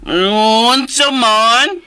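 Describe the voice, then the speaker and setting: A man acting in a radio drama as a childlike, simple-minded man devoted to his doll, speaking in halting, stammering phrases. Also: very high voice, pushed and bright, smooth, exaggerated voice. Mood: simple, hesitant. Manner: slow, halting, stammering speech.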